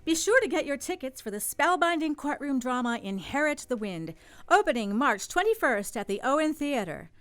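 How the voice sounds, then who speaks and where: A woman's voice: sits high, big pitch swings, normal speed. A woman reading a theater ticket promo in an upbeat way. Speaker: promo reader.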